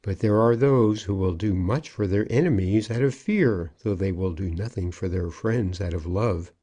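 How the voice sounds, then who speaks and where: A man's voice: deep voice, moderate pace, warm tone. A man voicing a pompous, earnest junior business partner, formal and insistent, in an audiobook. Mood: firm. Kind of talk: audiobook character acting.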